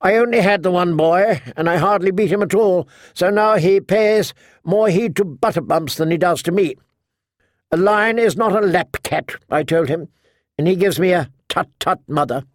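A man voicing a sharp-tongued old noblewoman in an audiobook, imperious, blunt and talkative. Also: high-pitched, moderate pace, no echo, clean studio sound, pitched above the performer's own voice, close to an everyday voice. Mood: wry, scornful. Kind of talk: audiobook character acting.

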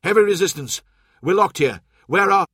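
A man voicing an embattled soldier in an audiobook, reporting urgently over a comms link in loud, clipped bursts. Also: sits high, animated, normal speed, breathy edge, dry recording, clean studio sound, pitched above the performer's own voice, full character voice. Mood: urgent, strained.

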